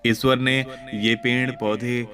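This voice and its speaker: Contented, resourceful heaven dweller voiced by a male storyteller, mid-pitched, plainly explaining, in a moral storybook tale with music. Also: level tone, slow, fairly bright tone. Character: happy, resourceful, contented souls living in heaven. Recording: background music under voice.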